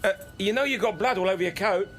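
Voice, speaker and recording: A man's voice: high-pitched, fast, close to an everyday voice. A male actor playing a breezy, chatty English hospital worker in a radio drama. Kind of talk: casual radio drama dialogue.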